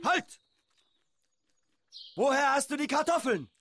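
Ein Schauspieler spielt im Hörspiel einen bellenden, fanatischen japanischen Amtsträger voller Verachtung und Wut. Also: male voice, very high voice, animated, slow pace, a bit bright, a little hiss or hum, heavily acted. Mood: accusing. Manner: barking, hectoring. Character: bullying, fanatical Japanese authority man, contemptuous and furious. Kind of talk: German radio drama acting.

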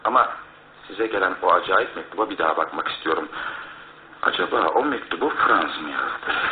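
Low-voiced, formal police inspector. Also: male voice. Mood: cautious. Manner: formal, measured reporting. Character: methodical, cautious male British police inspector. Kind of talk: radio drama acting. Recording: phone-like lo-fi radio broadcast, faint noise.

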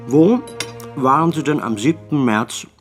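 An actor playing a senior police detective in a radio play, questioning with dry, formal politeness. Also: male voice, mid-range voice, measured pace, faint music behind, lightly acted. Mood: pressing, dry. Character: senior police detective, probing, dryly sarcastic interrogator.

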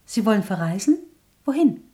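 An actress playing a wistful, reserved young variety performer in a radio drama, polite and restrained. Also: female voice, mid-range voice, sing-song, normal speed, dark tone, close to an everyday voice.

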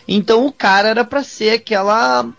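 A man's voice: high-pitched, steady tone, moderate pace, gravelly, fairly bright tone. A Brazilian man giving blunt, slangy opinions in a casual panel chat, recorded in dull lo-fi audio. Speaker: podcast panelist. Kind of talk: casual, opinionated chat about a sci-fi series.

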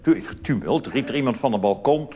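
A man's voice: medium pitch, lively intonation, brisk, mellow. A male cabaret performer delivering a live comedy monologue in a conversational, rambling way with asides and tag questions. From Netherlands. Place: on stage in a theatre before an audience. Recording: noisy old live theatre recording.

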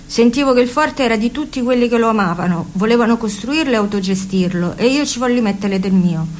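A woman reading a first-person memoir calmly and earnestly for radio. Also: on the high side, fairly quick, slight background noise. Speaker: reader.

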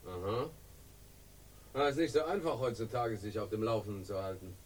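An actor playing a suave, slippery crime boss in a radio drama, urbane and ironic, with smoothly evasive talk in a husky voice. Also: male voice, lowish voice, moderate pace, far from the mic. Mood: guarded, urbane. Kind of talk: 1960s German radio crime drama acting.

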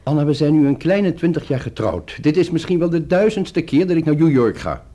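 A fairly high, animated male voice of a middle-aged airline pilot in a radio drama. He is a wry, weary husband, teasing and dismissive. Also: fairly quick. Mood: irritated. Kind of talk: Dutch radio play acting.

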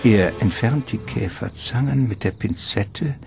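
A man narrating a literary radio drama in a measured, ornate storytelling manner. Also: medium pitch, vocal fry. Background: music.

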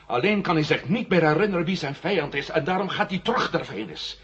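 Tough, hot-headed spaceman lead for a radio serial, commanding, sharp and very lively, on dull old tape. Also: a little high, fast talker. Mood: grim, explaining. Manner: brusque, commanding. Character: tough, hot-headed male astronaut hero. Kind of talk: 1970 science fiction radio drama acting.

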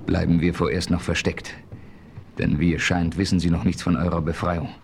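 An actor playing a composed frontier hero in a radio play, speaking calmly and decisively. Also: male voice, low-pitched, normal speed, gravelly, a little hiss or hum. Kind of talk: 1970s radio play acting.

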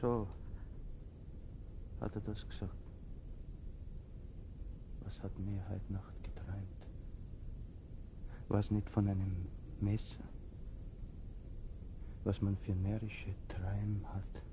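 A haunted, submissive soldier in a radio play. His voice is low and his words come slowly and haltingly, over a faint rumbling noise. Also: level tone. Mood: brooding. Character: haunted, submissive poor soldier, troubled and brooding. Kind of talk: radio drama acting.

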